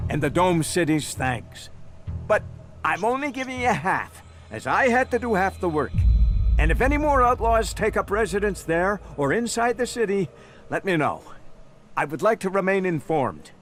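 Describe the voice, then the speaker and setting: A grudging, officious town guard from a video game. His high voice swings theatrically as he puffs himself up, with clear music behind him. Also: sing-song, measured pace, pauses often. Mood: haggling, smug. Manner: pompous, theatrical. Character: officious, grudging, self-important male city gate guard. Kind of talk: video game dialogue acting.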